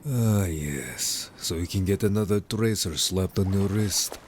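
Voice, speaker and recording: A man's voice: low voice, a little slow, slight background noise. A man playing a stern, wary merman in an audio story, curt and grave, distrustful of humans. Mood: disapproving. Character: stern, wary, disapproving merman information gatherer. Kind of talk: audio story character acting.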